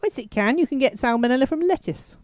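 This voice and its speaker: A woman's voice: high voice, expressive, fast, darkish tone, dry recording. A woman co-hosting a comedy podcast, dry and understated in casual banter. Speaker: podcast co-host.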